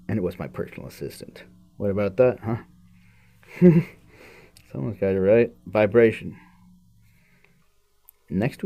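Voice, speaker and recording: A man's voice: fairly low pitch, dark tone, slightly rough. A man hosting a podcast, reading a book aloud and breaking off into casual, musing commentary. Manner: musing, informal asides to the listener.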